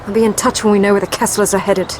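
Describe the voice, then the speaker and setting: A woman's voice: mid-range voice, little pitch movement, very fast, darkish tone, a little hiss or hum, natural voice. A woman playing a cool, sardonic British spy with a British accent in a video game cutscene. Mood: curt, condescending.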